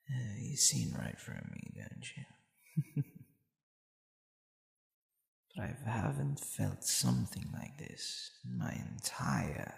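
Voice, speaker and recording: Man playing a slow, gravelly, tender demon boyfriend. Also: lowish voice, reverberant, full character voice. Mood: tender, confessing. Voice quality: breathy, often dropping to a whisper. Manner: slow, hesitant, soft chuckling. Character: tender, flustered male demon boyfriend falling in love. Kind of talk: intimate ASMR roleplay.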